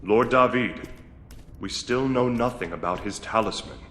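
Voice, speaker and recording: A man's voice: medium pitch, unhurried, a bit bright, long reverb tail, slight background noise, close to an everyday voice. An actor playing a solemn, cautious male retainer in a video game, formal and grave. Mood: grave, concerned. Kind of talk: video game cutscene acting.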